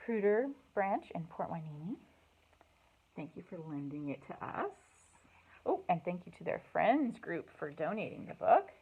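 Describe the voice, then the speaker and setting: Slow-paced woman reading to children. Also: mid-range voice, low-quality recording. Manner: animated, encouraging, speaking simply to young children. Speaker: children's librarian and storytime host. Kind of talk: children's storytime read-aloud with asides.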